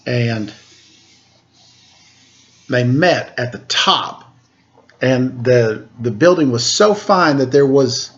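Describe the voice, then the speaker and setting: A man reading a children's picture book aloud, expressive and sing-song on the rhymes, with chatty asides. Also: medium pitch. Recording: dull lo-fi sound.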